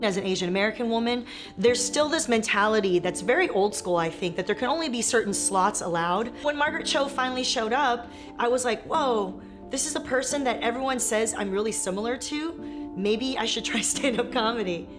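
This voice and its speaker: A woman's voice: a little high, a little fast. A woman comedian in her thirties, from Taiwan, talking frankly with self-deprecating humor in an interview. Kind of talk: documentary interview, candid storytelling. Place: dark comedy club, seated. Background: music.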